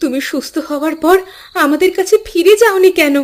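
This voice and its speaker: A woman's voice: very high voice, fairly quick. An actress playing a weary, sorrowful village mother in a cartoon, with a plaintive, emotional delivery. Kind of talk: melodramatic cartoon dialogue. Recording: music behind the voice.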